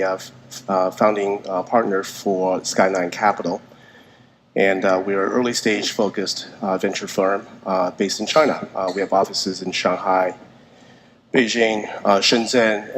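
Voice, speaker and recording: A man, a venture capital partner, introducing himself as a panelist in a halting way. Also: fairly low pitch. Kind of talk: spoken self-introduction on a panel. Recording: faint background noise, live panel.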